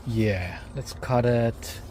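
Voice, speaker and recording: A man's voice: medium pitch, very slow, dark tone, slight background noise. A man whose first language is Greek, hosting a gardening vlog outdoors, tired after digging, explaining each step and calling viewers guys. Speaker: gardening channel host. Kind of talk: casual vlog talk to camera.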